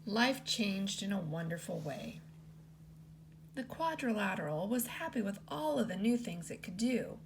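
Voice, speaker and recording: A woman teacher reading a children's picture book aloud in an expressive storytelling style. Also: unhurried, creaky, noisy background. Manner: expressive read-aloud with lots of pitch movement.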